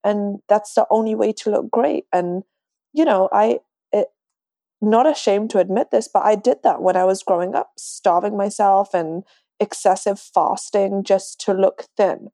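London woman in her 20s, accent a bit americanised, soft mellow tone, chatting on a podcast, really dry recording. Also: medium pitch, a little slow, no echo. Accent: London English, slightly Americanised. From London, England. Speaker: podcast guest. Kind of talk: casual conversational interview.

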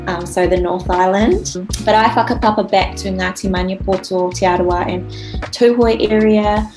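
A woman's voice: mid-range voice, steady tone, moderate pace, music in the background. A woman, a guest on a podcast, answering casually and a little hesitantly, with many "like"s. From New Zealand. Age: 20s. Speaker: guest, psychology student and artist. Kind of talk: interview answers.

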